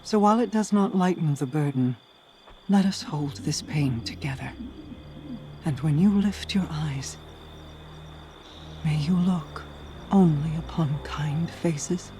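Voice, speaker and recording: A woman voicing a composed, regal queen in a game cutscene, grave and consoling, speaking softly in measured, formal phrases. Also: low voice, slight background noise. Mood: solemn, consoling. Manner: measured, formal, soft-spoken. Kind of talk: dramatic video game cutscene acting.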